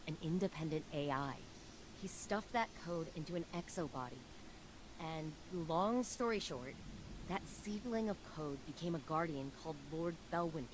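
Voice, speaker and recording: A driven young scientist. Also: female voice, deep voice, background noise. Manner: earnest, urgent, persuasive. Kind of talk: video game cutscene voice acting.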